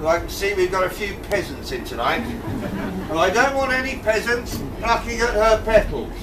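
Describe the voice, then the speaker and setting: Man playing a high-voiced, jokey pantomime king, echoey hall. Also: moderate pace, close to an everyday voice. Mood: coaxing, playful. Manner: theatrical, coaxing, bantering with the crowd. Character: forgetful, jokey pantomime king fussing over his rose. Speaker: amateur pantomime actor. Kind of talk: live pantomime, addressing the audience. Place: indoor tavern stage with live audience. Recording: echoey hall from far off, audience noise.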